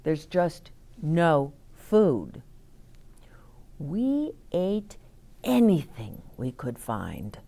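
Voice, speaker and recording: Female storytime reader for young children: lower voice, lively melody, deliberate explanatory delivery. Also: gravelly. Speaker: storytime host reading picture books aloud. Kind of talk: expressive read-aloud for children with asides to listeners.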